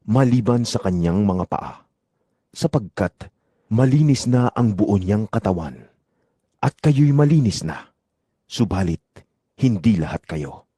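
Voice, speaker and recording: Guy playing a solemn male teacher in a bible audio drama, low mellow voice, lots of rise and fall, earnest. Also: breathy edge, no echo, exaggerated voice. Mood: gentle, grave.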